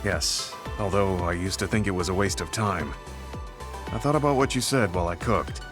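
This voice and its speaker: Game music plays under an actor as a weary veteran soldier. He is a man with a lowish voice, humble and full of self-reproach, speaking earnestly and with measured restraint. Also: close to an everyday voice. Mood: reflective. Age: older. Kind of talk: video game English voice acting.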